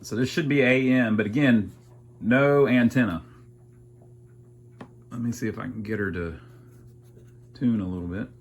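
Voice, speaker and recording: A man with a fairly low voice narrates his amateur repair work as he goes. He thinks aloud in a casual, folksy way, with dry, wry asides. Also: normal speed, pauses often, a little hiss or hum. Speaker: amateur radio repairer and video host.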